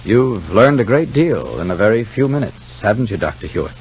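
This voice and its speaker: A muffled 1950 radio broadcast carries a male announcer. His voice is husky and sits in the middle range, moving expressively through scripted narration and advertising copy. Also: lowish voice, sing-song, brisk, darkish tone.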